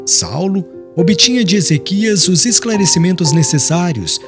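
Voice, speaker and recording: A man narrating an audiobook novel in a solemn, literary, dramatic reading style with carefully measured phrasing. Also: high-pitched, lively intonation, music in the background.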